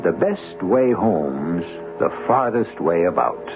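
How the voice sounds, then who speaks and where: A man hosting a radio drama, narrating in an ominous, deliberate, theatrical 1970s style. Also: low voice, big pitch swings. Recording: muffled old radio broadcast. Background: music.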